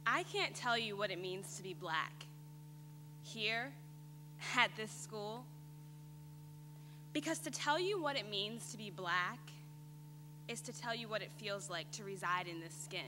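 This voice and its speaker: A woman in her twenties performing a dramatic stage monologue with deliberate, weighted phrasing and pauses. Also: mid-range voice, lively intonation. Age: 20s. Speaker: student performer. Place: on stage in a theater. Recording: noisy, echoey theater hall.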